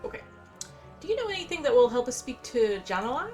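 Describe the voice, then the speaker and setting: A woman's voice: high voice, big pitch swings, quick pace, darkish tone. A woman doing casual gameplay commentary, chatty and musing as she plays and reads quest text aloud. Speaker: gameplay streamer. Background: faint game music.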